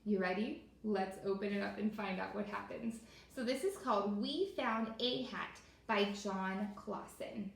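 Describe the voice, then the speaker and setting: A woman's voice: mid-range voice, unhurried, creaky, few pauses. A woman teacher leading an interactive read-aloud for young children, encouraging them and putting questions straight to them. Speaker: elementary school teacher. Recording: echoey room with faint noise.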